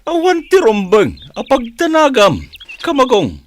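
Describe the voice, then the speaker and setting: A woman's voice: high voice, wildly swooping pitch, unhurried, heavily acted. A woman playing a devoted, reassuring male village chief and father in a radio drama.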